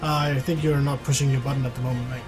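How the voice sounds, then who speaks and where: Guy streaming a game, medium pitch, chatting casually, faint music behind him. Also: little pitch movement, quick pace. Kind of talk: casual live gameplay commentary. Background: background music.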